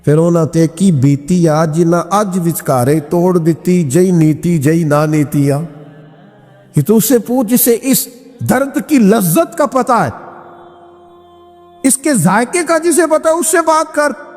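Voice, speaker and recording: High-pitched Punjabi-accented male preacher, echoing hall. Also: lively intonation, a little mellow. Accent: Pakistani Punjabi. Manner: impassioned, rhetorical, slow emphatic delivery with swelling exclamations. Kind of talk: sermon with storytelling and recited Punjabi verse. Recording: echoey hall reverb with music behind. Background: background music.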